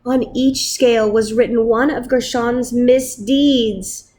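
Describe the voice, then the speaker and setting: Female storybook narrator: slow, higher-pitched, dramatic, with deliberate pauses. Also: steady tone, darkish tone, slight background noise. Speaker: storybook reader. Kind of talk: expressive read-aloud narration of a children's story.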